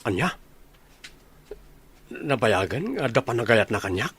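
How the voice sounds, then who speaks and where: A man's voice: medium pitch, big pitch swings, unhurried, a bit bright, husky, full character voice. A man with an Ilocano accent playing an earnest, lovestruck young man and devoted son in a comedy radio drama. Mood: teasing, curious.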